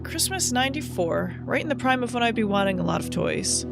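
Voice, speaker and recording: A woman's voice: a little high, sing-song, fairly quick, creaky. A woman playing an uneasy young storyteller in a spooky audio drama. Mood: uneasy, bewildered. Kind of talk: audio horror anthology acting. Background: loud music.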